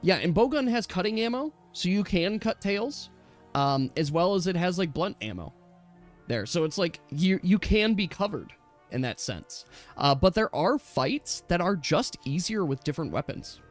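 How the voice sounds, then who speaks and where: Higher-voiced man in casual gamer chat. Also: very animated, moderate pace. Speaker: host of a tabletop gaming stream. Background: background music.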